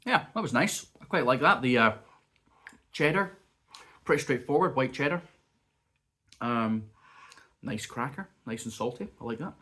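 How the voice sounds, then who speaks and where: A man's voice: mid-range voice, expressive, moderate pace, long pauses, sharp tone. A man chatting casually as he taste-tests cheese and crackers at home, still sniffly and coughing from a bug. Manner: chatty, muses aloud while tasting. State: lingering cough, a bit sniffly. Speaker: food reviewer. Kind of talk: casual food review vlog. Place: indoors at home.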